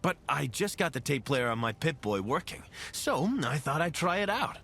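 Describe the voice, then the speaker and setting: An actor playing a wry, wounded wasteland companion in a video game, speaking in a casual, hesitant way. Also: male voice, fairly high pitch, sing-song, normal speed, creaky, fairly bright tone, a little hiss or hum, exaggerated voice. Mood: casual, evasive.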